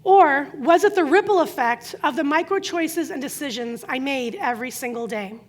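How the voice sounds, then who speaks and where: High-voiced woman telling her story. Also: expressive. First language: Croatian. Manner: earnest storytelling with emphatic pauses. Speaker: speaker. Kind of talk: prepared inspirational talk.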